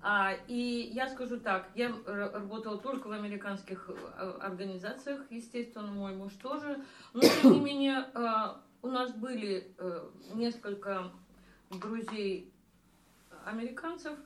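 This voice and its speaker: A woman's voice: mid-range voice, measured pace, slight background noise. A middle-aged woman telling of her own experience in a group chat, hesitant with many fillers. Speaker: emigrant discussion guest. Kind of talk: casual group discussion, storytelling. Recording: echoey room.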